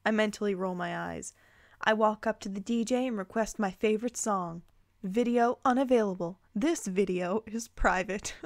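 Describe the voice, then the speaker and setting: A woman's voice: fairly high pitch, dry recording, studio quality. A woman reading fan fiction aloud, dropping casual, incredulous, playful asides as she goes.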